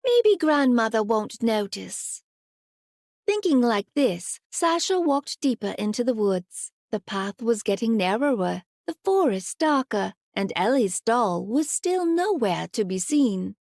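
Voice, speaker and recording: A woman reads a children's story with a fairly high voice and lots of melodic movement. She takes her time, and the recording is dry and close. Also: sing-song, measured pace, hardly any pauses, a little mellow, dry recording. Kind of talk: expressive scripted children's story narration.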